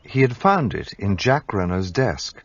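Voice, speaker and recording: Man narrating as a gentleman. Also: on the low side, big pitch swings, husky, dry recording, full character voice. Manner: measured first-person storytelling. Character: English gentleman narrator, looking back. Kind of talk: radio play narration. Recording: lo-fi recording with music behind.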